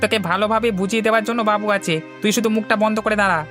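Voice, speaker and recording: Guy doing a hot headed village henchman, cartoon style, talks fast n curt, scornful, music behind. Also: high voice, little pitch movement, a bit bright. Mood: bullying. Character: rude, hot-headed, bullying village man, landlord's henchman. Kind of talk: Bengali cartoon story voice acting.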